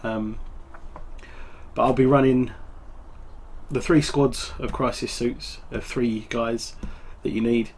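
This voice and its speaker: A man's voice: mid-range voice, slow, slight background noise. A man with a cold and a stuffy nose, hosting a wargaming hobby channel and chatting casually with lots of ums. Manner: relaxed, rambling, full of ums. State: congested with a cold. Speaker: tabletop wargaming channel host. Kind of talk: casual hobby show-and-tell.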